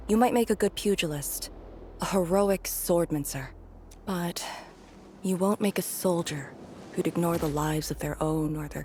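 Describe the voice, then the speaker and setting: A woman playing a battle-hardened female soldier in a video game, with a grave, measured and earnest delivery. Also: low voice, a little mellow, slight background noise, lightly acted.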